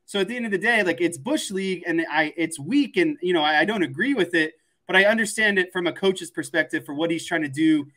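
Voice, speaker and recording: Male sports panelist, slightly high voice, conversational analysis with repeated words for emphasis. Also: fairly quick, hardly any pauses, pushed and bright. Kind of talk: casual sports commentary.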